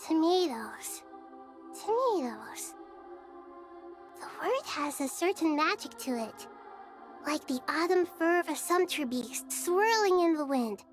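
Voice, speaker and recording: A woman playing a gentle, wise, childlike female deity in a video game, composed and measured with a playful streak. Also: extremely high pitch, sing-song, faint music behind, exaggerated voice. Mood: warm, playful.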